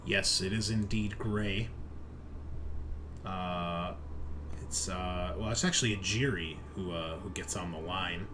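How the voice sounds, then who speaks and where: A man running a tabletop roleplaying game as game master, narrating in a halting way as he thinks aloud. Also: low-pitched. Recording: clearly noisy background hiss.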